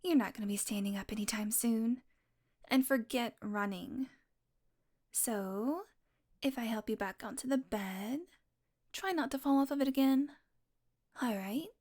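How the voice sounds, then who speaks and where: A woman's voice: on the high side, a little mellow. A woman playing a sardonic, flirtatious, possessive female vampire in an intimate audio roleplay, talking teasingly to the listener and sometimes dropping into a murmur. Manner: sardonic, teasing, casually swearing, slipping into breathy murmurs.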